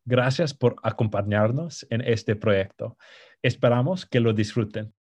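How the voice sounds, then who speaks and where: A man in his twenties with an American accent, co-hosting a podcast in Spanish as a second language, pausing often with fillers and restarts. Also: medium pitch, a little mellow, breathy edge. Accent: American English. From United States. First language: English. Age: 20s. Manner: hesitant, many fillers and restarts. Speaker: podcast co-host. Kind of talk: casual podcast introduction.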